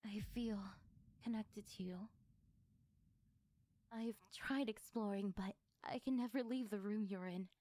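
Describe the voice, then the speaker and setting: A woman voicing a lonely, needy female sleep paralysis demon in an intimate ASMR roleplay, hesitant and soft-spoken. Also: mid-range voice, steady tone, unhurried, pauses often, no echo, close to an everyday voice. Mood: tender, hopeful.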